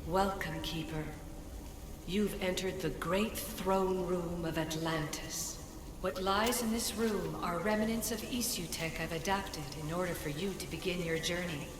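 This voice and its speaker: A woman playing an ancient, solemn spirit guide in a video game, speaking in a measured, formal and cryptic way. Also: fairly low pitch, steady tone, close to an everyday voice. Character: ancient female Isu spirit in a staff, solemn commanding guide. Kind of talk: scripted game cutscene acting. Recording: echoey hall reverb over music.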